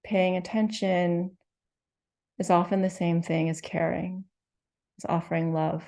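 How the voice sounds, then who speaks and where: A woman leading a guided meditation, speaking slowly and gently with soothing instructions and long pauses. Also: lowish voice, little pitch movement, creaky. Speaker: meditation teacher.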